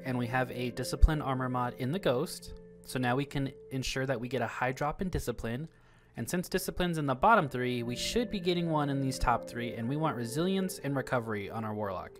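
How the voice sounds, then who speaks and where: Upbeat man explaining a game build. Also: mid-range voice. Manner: upbeat, explanatory, repeats words for emphasis. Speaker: gaming channel host. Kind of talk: gameplay guide voice-over.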